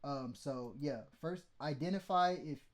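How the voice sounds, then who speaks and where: A man's voice: fairly high pitch, a little slow. A man with a mid-range voice teaching vocal mixing in a loose, blunt way, swearing and checking in with "okay?". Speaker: mixing tutorial host. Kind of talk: casual how-to teaching.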